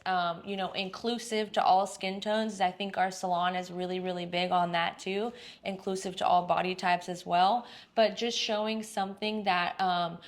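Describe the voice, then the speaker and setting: A woman in her thirties from Texas, a salon owner answering interview questions in a chatty, upbeat way with plenty of fillers. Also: mid-range voice. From Dallas-Fort Worth, Texas. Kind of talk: casual interview.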